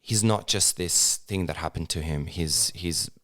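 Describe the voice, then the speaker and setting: A man in his thirties, born in Serbia and speaking, talking about his acting work in a relaxed interview, with lots of fillers and restarts. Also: sits low, measured pace. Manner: hesitant, many fillers and restarts. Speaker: actor, interview guest. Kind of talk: casual interview conversation.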